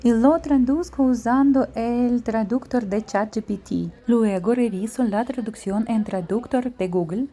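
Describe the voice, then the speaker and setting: A woman narrating her travel vlog as a scripted voice-over, reading slowly and carefully from a memorized translated script. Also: a little high, dark tone. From outside Paraguay. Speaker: travel vlogger. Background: faint background music.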